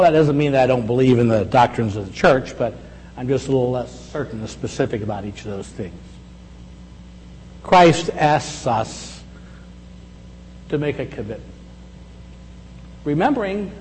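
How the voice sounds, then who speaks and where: A man's voice: mid-range voice, dark tone. Reflective man in his sixties preaching. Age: 60s. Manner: reflective, conversational, with slow emphatic pauses. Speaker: pastor. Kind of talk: sermon. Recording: echoey hall with faint hum.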